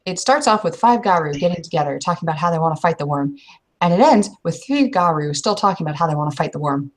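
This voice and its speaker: Woman playing blunt, argumentative werewolf, lowish voice. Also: expressive, fast. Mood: wry storytelling. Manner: argumentative, storytelling, exasperated. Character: blunt, impatient werewolf from the old country. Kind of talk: tabletop roleplay acting.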